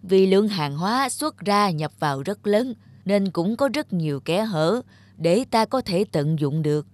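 A woman voicing a shrewd, calculating male factory director in a cartoon, speaking with measured, insinuating authority. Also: mid-range voice, animated, a little mellow, natural voice. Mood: firm, serious. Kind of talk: cartoon voice acting.

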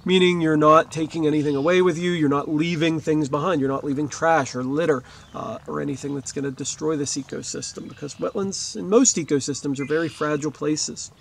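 A man with a high-pitched voice gives an educational read-aloud like an elementary teacher. He pauses to explain terms in simple words. He speaks outdoors, a few steps from the camera. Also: fairly even intonation, gravelly, slight background noise. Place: outdoors beside a lakeside marsh. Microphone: camera a few steps away.

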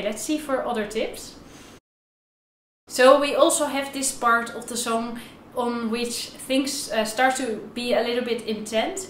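A young woman with a fairly high voice speaks as a violin teacher in a video tutorial. She explains things informally, with slightly non-native phrasing. The room adds a clear echo to her speech. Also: a little hiss or hum. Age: young adult. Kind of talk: instructional video tutorial, talking to camera. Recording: roomy echo, violin playing at times.

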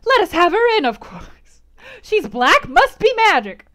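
A woman voicing an excitable young gentleman who is eager for some fun. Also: extremely high pitch, a little slow, pitched above the performer's own voice, exaggerated voice. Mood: excited.

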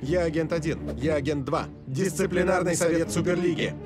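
A man voicing a stern, by-the-book cartoon investigator with an officious, deadpan delivery. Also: a little high, a little fast, music in the background, close to an everyday voice. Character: stern, by-the-book male league disciplinary agent. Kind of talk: dubbed cartoon acting.

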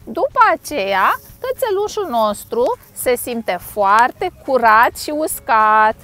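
Animated, high-pitched woman reading to children. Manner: expressive, playful, simple phrasing for small children. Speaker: storytime host. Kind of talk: animated children's picture-book read-aloud. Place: outdoors on a grassy lakeside bank.

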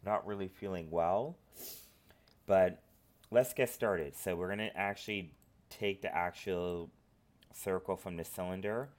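A man with a cold, sniffling as he talks through a software modeling tutorial at his computer, rambling with lots of filler phrases. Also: low-pitched, a little slow. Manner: rambling, filler-heavy instructions. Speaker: tutorial host. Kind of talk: screen-recorded software tutorial.

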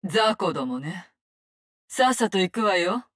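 An actress playing a cold, vengeful poison-wielding assassin in a Japanese-dubbed video game, speaking in curt, contemptuous, commanding lines. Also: female voice, mid-range voice, big pitch swings, measured pace. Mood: cold, bitter.

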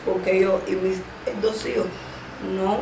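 A young woman in her twenties with a Cuban accent, speaking haltingly with a stammer and many drawn-out fillers while giving personal testimony in an interview. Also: mid-range voice, level tone. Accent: Cuban Spanish. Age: 20s. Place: small indoor room, seated. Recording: echoey room with background noise.

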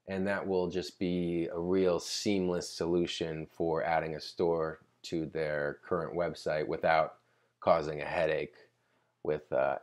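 A man giving a casual, unscripted screen-recording tutorial, explaining conversationally and pausing mid-sentence to think. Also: sits low, darkish tone. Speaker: tutorial presenter.

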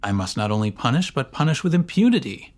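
A man's voice: mid-range voice, very animated, fast talker. Theatrical male horror audiobook narrator. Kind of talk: dramatic scripted narration of a gothic horror story. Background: music.

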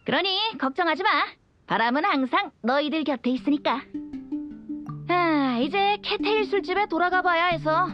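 A woman's voice: very high-pitched, expressive, moderate pace, lo-fi sound, over music. A woman voicing a carefree, teasing young male bard in a video game, with a light boyish voice and a playful lilt. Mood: reassuring. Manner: playful, lilting. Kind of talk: animated video game voice acting.

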